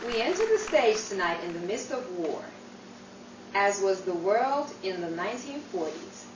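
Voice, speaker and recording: A woman's voice: medium pitch, sing-song, moderate pace, crowd noise, natural voice. A woman playing a theatrical narrator introducing a 1940s-style radio drama with a scripted, inviting delivery. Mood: inviting, dramatic. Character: theatrical 1940s radio show announcer Pike. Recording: dull, noisy, echoey, distant mic.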